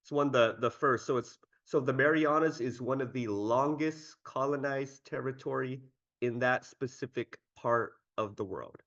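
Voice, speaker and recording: A man's voice: mid-range voice, normal speed, a bit bright, lo-fi sound. A man speaking on an online panel, courteous and brief.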